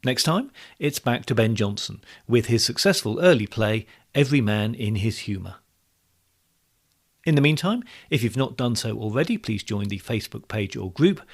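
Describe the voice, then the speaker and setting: Gravelly British man, scripted podcast narration. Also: lowish voice. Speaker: podcast host.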